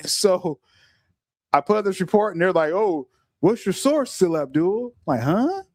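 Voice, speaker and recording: A middle-aged man hosting a casual podcast livestream, talking in an animated way, stumbling over repeated words and often laughing. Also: sits high, a little slow, no room sound, clean studio sound. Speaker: podcast host.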